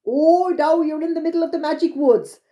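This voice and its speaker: A woman's voice: high voice, fairly quick, mellow, roomy sound, pitched above the performer's own voice, lightly acted. A woman voicing a tiny, impatient leprechaun man with an Irish accent in a children's storybook tale. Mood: friendly, proud. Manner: impatient, folksy Irish turns of phrase. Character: tiny little man of the magic woods, huffy leprechaun.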